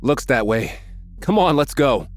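A man doing a cocky, gruff teen gang leader. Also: mid-range voice, big pitch swings, measured pace, pitched above the performer's own voice. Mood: tough. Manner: gruff, sarcastic delivery. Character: tough teenage gang leader, cocky and wry.

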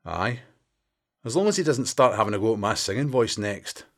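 A man's voice: fairly low pitch, normal speed. A man doing a wry Scottish detective. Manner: wry, teasing, deadpan. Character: dry-humoured veteran male detective past forty.

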